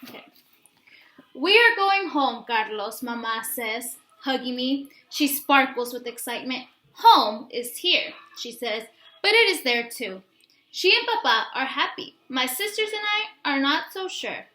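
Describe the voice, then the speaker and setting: A woman with a high, bright voice reads a children's story aloud in a roomy, echoey space. She is expressive and instructive, speaking straight to her young listeners as a teacher does. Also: a little slow. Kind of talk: picture-book read-aloud with questions to young listeners. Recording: roomy, echoey room sound.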